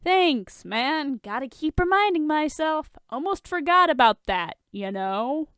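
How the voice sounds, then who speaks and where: A woman's voice: high voice, expressive, measured pace, a bit bright, no echo, clean studio sound, pitched above the performer's own voice. A woman voicing an awkward, nervy, casual male friend in a story reading. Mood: wry.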